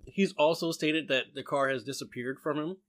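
A man co-hosting a podcast, telling a story in a hesitant way, with many pauses and restarts and a dry sense of humor. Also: fairly high pitch.

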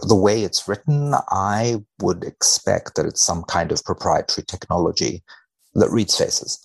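A man's voice: sits low, unhurried, gravelly, breathy edge. A man speaking as a researcher guest, reading studies aloud and commenting with dry mock seriousness.

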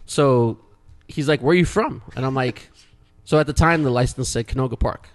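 A man's voice: mid-range voice, normal speed. Profane rambling storyteller man. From Canada. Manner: rambling, profane, restarts often. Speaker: podcast co-host. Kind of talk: casual storytelling.

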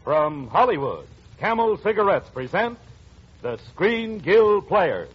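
A man's voice: high-pitched, big pitch swings, slow. An American man announcing in formal, emphatic 1940s radio style. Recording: dull old radio recording, music behind.

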